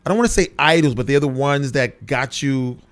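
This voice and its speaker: A man's voice: mid-range voice, fairly quick, fairly bright tone. A man hosting a radio studio interview, drawing out his guest with frequent short affirmations. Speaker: radio host.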